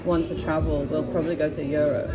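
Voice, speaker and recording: Woman with a low voice over loud music. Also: fast talker. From London, UK. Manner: relaxed, chatty, laughs easily, many fillers. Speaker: host. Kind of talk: casual chat. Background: background music.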